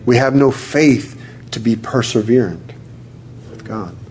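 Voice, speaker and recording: A man preaching to a congregation and praying aloud fervently, calling on the Lord again and again and reading scripture slowly. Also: mid-range voice, darkish tone, a little hiss or hum. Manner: fervent, repeats "Lord" often; reads scripture slowly and deliberately. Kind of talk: sermon and spoken prayer. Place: church service with congregation responding.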